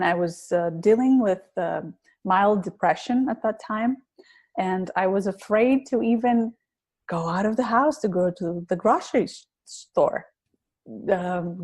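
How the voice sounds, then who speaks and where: A woman's voice: mid-range voice, a little mellow. Woman with a heavy Greek accent. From Greece. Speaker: guest, public speaking coach. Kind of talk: podcast interview.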